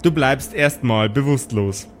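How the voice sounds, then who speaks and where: A man's voice: mid-range voice, a little slow, soft background music. A man improvising narration as the game master of a tabletop role-playing audio play.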